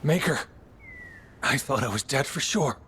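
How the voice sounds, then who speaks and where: A man's voice: medium pitch, lively intonation, unhurried, sharp tone, slight background noise. A man playing an injured, grateful survivor in a fantasy video game, sounding pained. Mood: pained, relieved.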